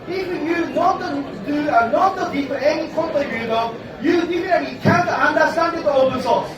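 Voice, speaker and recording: A Japanese man speaking halting English with a Japanese accent, answering audience questions as a conference speaker, pausing often to search for words. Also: extremely high pitch. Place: conference hall. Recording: echoey hall, distant mic, audience around.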